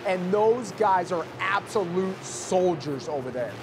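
A man doing excitable color commentary at a motorsport show, urging the drivers on. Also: high-pitched, unhurried, background noise. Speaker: sports commentator. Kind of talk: arena sports commentary.

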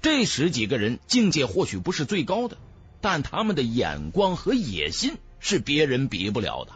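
Animated male audiobook narrator. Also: mid-range voice, normal speed, breathy edge, muffled recording. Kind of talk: dramatic scripted narration of a fantasy novel. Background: background music.

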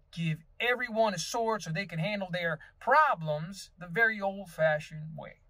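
Man acting a Southern US political candidate, high male voice, folksy drawl, pompous, vain, aggrieved, mock campaign video, dry close recording. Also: a bit bright, no echo. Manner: theatrical drawl, pompous, dropping into breathy seductive asides. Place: inside a parked car.

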